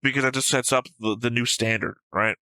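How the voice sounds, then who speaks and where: A man's voice: mid-range voice, fairly even intonation, a little fast, bright, forceful tone, clear, resonant tone, no echo. A man co-hosting a reaction show, talking through his opinions in casual, argumentative discussion. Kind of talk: casual discussion and episode commentary.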